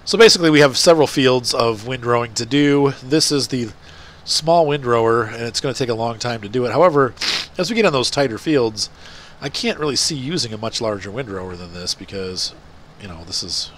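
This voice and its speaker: A man's voice: medium pitch, fairly quick, fairly bright tone, a little hiss or hum. Stuffy-nosed man rambling over gameplay. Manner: rambling, chatty, many fillers. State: congested, stuffy nose. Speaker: gaming channel host. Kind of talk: casual gameplay commentary.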